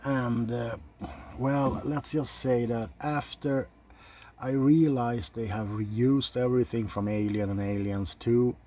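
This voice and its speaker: A man giving unscripted ASMR film commentary in a soft, low-key, halting way, blunt and opinionated with casual swearing. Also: steady tone, a little hiss or hum. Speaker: video host reviewing films.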